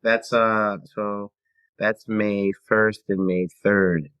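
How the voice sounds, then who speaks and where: Deep-voiced man in casual conversation. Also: little pitch movement, fairly bright tone, clear, resonant tone, dry recording. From Canada. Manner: halting, thinking aloud in short phrases. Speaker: guest, singer-songwriter and theater performer.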